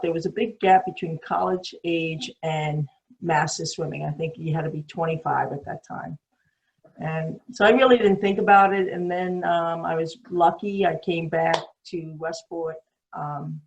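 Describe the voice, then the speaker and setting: A woman's voice: low-pitched, poor audio. Rambling older New York woman. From New York City. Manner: rambling, many ums and restarts. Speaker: guest swimmer. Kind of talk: casual storytelling on a call. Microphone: video call.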